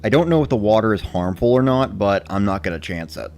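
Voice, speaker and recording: Low-pitched man narrating a story. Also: animated, fast, darkish tone. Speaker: narrator of a dramatic reading. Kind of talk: first-person scripted story narration.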